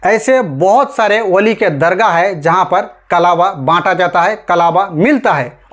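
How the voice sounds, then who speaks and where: A man from India with a high-pitched voice delivers scripted news commentary for an online audience. He speaks emphatically, leaning on rhetorical questions and addressing listeners directly as friends. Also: expressive, a little slow, a bit bright.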